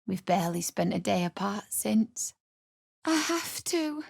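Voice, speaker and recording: Game role: grieving, bitter young widow, slow emotional delivery, very dry recording. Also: female voice, medium pitch, very animated, creaky, a little mellow, slightly rough, dry recording, heavily acted. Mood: bitter, defensive. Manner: emotional, pleading, blunt. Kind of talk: video game character dialogue.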